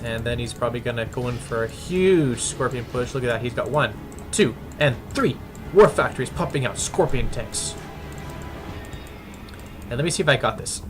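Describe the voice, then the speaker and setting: Halting male game caster over music. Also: mid-range voice. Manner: halting, many fillers and restarts, bursts of excitement. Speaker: game commentator. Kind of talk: casting a strategy game replay. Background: background music and keyboard typing.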